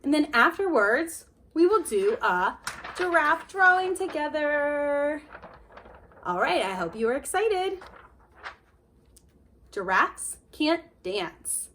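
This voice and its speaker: A woman teacher speaking to young children in an expressive, deliberate, encouraging read-aloud style. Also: high-pitched, a little hiss or hum. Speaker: elementary art teacher.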